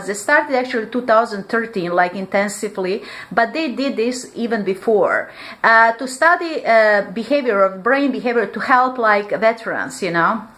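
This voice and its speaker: A woman's voice: on the high side, a bit bright. A woman speaking English as a second language in a rambling vlog monologue. She fills her talk with "like" and restarts often, with small grammar slips and laughs between phrases. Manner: rambling, heavy use of "like" fillers, frequent restarts. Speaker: vlogger.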